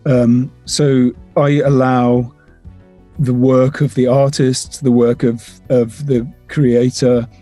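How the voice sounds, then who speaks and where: A man talking thoughtfully and haltingly in a casual interview. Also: mid-range voice, level tone, warm tone, soft background music.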